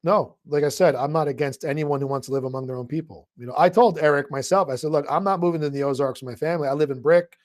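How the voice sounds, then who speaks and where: A man's voice: on the high side, quick pace, few pauses, darkish tone, no room sound, studio quality. A man hosting a live audio chat room, talking in a loose, rambling way. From New Jersey. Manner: loose, rambling, laughs at own remarks.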